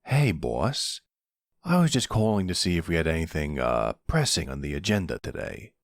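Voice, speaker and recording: Man playing a deep, smug young mafia boss. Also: very animated, vocal fry, no room sound, exaggerated voice. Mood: teasing. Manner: teasing, unhurried, smug. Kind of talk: ASMR boyfriend roleplay acting.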